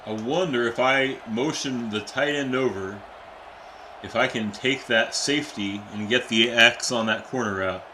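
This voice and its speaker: A young adult man, a teacher by day, giving casual, excitable live-stream commentary while playing a football video game and chatting with viewers. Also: medium pitch, unhurried, slight background noise, rough recording. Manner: excitable, chatty, reacts to plays and reads chat aloud.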